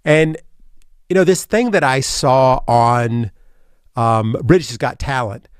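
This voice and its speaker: A man's voice: mid-range voice, takes it slowly, clear, resonant tone, no room sound, clean studio sound. A man hosting a radio talk show in casual chat, rambling with many fillers and restarts. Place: radio studio.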